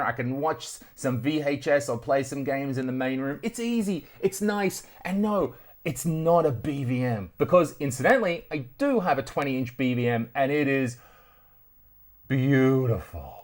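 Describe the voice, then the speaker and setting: An Australian man chatting casually to viewers as a video host, telling stories in a conversational, sweary way. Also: a little high. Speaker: retro tech video host. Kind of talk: casual storytelling to camera.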